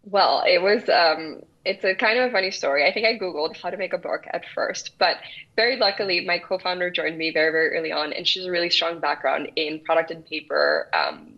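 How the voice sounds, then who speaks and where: A woman's voice: fairly low pitch, expressive, fairly quick. A woman from El Salvador, in her thirties, answering interview questions earnestly with frequent restarts. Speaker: guest founder. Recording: dull lo-fi sound.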